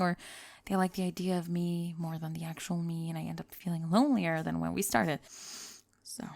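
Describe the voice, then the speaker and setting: A woman plays a young female pop star in an intimate audio roleplay. Her voice sits fairly low with a crackly fry, recorded very close and dry. She confides candidly, a little nervous and worn by fame, yet kind and playful, often softening to a murmur. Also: no echo. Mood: nervous, confiding. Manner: confiding, hesitant, playful, trailing into soft murmurs. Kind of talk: intimate ASMR roleplay audio, one-sided date conversation.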